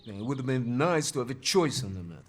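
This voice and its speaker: An actor playing a good-humored, self-deprecating young Soviet soldier, bantering in a video game cutscene. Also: male voice, medium pitch, very animated, fast, natural voice. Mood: resigned. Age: young adult.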